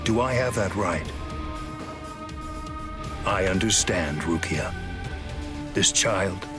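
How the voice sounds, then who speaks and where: Slow, cold aristocratic warrior. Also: male voice, deep voice, animated, over music. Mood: stern, controlled. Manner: formal, measured, stern. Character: cold, aloof aristocratic male warrior, calmly menacing. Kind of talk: English anime game dub acting.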